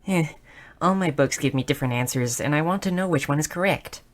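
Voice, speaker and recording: A woman voicing a bookish, excitable young goblin girl in an audio roleplay, curious, shy and slightly stammering. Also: low voice, brisk, lightly acted. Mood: eagerly puzzled. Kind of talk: scripted audio roleplay addressed to the listener.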